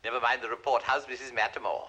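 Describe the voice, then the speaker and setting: An actor playing a brisk home-office official checking in on his people. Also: medium pitch, fairly even intonation, sharp tone, low-quality recording.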